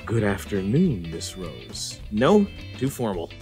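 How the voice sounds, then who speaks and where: A man's voice: lowish voice, huge pitch swings, takes it slowly, a little mellow, soft background music, heavily acted. A man voicing a shy, nervous young male hedgehog fanboy in a comic dub, with a jittery, flustered manner. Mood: anxious, shy.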